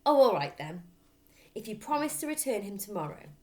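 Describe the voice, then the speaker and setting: A woman's voice: mid-range voice, very animated, fairly quick, a little mellow, pitched above the performer's own voice, lightly acted. A woman voicing a blunt, emphatic young boy in a children's storybook. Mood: grudging. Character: young boy, blunt, bargaining owner of parrot.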